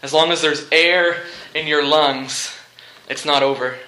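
A man delivers a sermon in a very bright, forceful voice that sits a little high. He speaks in short, deliberate phrases and leans on repetition for effect. The room around him rings with echo. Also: fairly even intonation. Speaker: preacher. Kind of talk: sermon with movie illustrations and humour. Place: live talk in a large room before listeners. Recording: echoey room.

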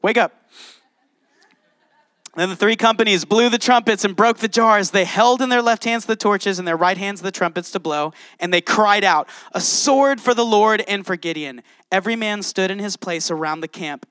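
A man's voice: sits high, a bit bright, clear, resonant tone, dry recording. A man preaching a sermon, reading scripture and speaking passionately straight to his congregation. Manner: passionate, addresses congregation directly. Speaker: pastor.

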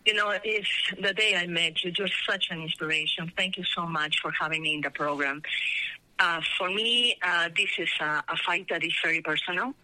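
Bright, sharp-voiced woman with Peruvian accent. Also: fairly low pitch, normal speed, no room sound, poor audio. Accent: Peruvian Spanish. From Peru. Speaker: radio guest, initiative sponsor. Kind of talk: earnest radio interview talk.

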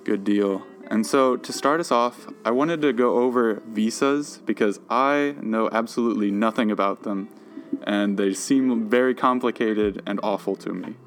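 A man's voice: lowish voice, normal speed, faint music behind. A young man hosting a podcast, introducing guests and posing questions in a friendly manner. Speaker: podcast host.

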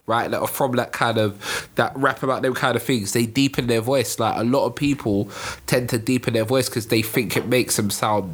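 A man co-hosting a casual podcast chat, relaxed, laughing often and restarting his sentences. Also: medium pitch, normal speed, few pauses, slight background noise. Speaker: podcast co-host.

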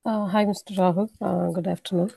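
A woman's voice: on the low side, fairly even intonation, brisk, gravelly, mellow, husky, dry recording. A woman calling in with a question about her daughter, speaking hesitantly with many fillers. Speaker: caller, a parent. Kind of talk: phone call question. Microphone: conference call line.

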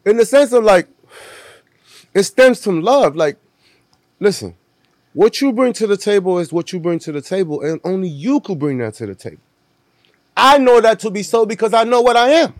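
A man's voice: high voice, expressive, moderate pace, pauses often, dry recording. A man in his forties from Puerto Rico, a guest musician talking casually and laughing often. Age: 40s. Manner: laid-back, laughs often, repeats "you know what I'm saying". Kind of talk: casual interview chat.

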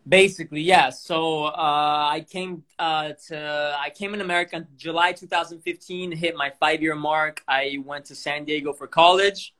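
A young Italian man with an Italian accent, a guest actor talking in a casual, animated and joking way on a livestream podcast. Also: a little high, moderate pace, hardly any pauses. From Italy. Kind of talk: casual chat.

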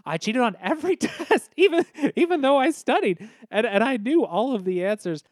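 A performer playing a distraught, guilt-ridden teenage boy, the class clown, halting and overwrought in a comedic audio drama. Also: mid-range voice, animated, dry recording, studio quality, natural voice. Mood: bitter, confessing.

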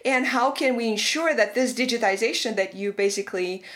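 A woman's voice: fairly high pitch, a little fast, a bit bright. A woman from Romania hosting an interview and asking long, thoughtful questions, with plenty of fillers along the way. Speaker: podcast host.

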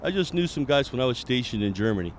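A man of medium pitch acting as a friendly bar owner in a radio play. He talks in an easygoing, encouraging way over faint background noise. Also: big pitch swings, quick pace. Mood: enthusiastic, reflective. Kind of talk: audio drama acting.

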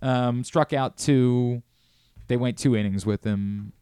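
A man's voice: mid-range voice, takes it slowly, dark tone, clean tone, no room sound, clean studio sound. A man hosting a sports radio show, talking casually and analytically, restating his points with emphasis. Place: radio studio.

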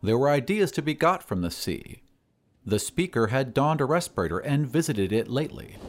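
A man giving a measured, deliberate and expressive reading of a literary story as a scripted dramatic narration. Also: mid-range voice, soft background music. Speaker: narrator of an audio story podcast.

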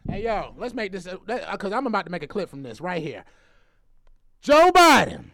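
A man hosting a podcast in casual, slangy chat, excitable and often repeating himself. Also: high-pitched, brisk, creaky, no echo. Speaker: podcast host.

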